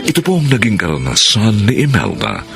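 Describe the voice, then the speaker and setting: A man's voice: fairly low pitch, huge pitch swings, fairly quick, vocal fry, over loud music. A man with a Filipino accent giving dramatic scripted narration as the host of a radio drama.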